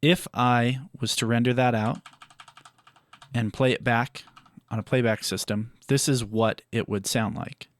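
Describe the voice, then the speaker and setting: A man presenting a step-by-step audio software tutorial in a measured, explanatory way. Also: mid-range voice, fairly even intonation, a little hiss or hum.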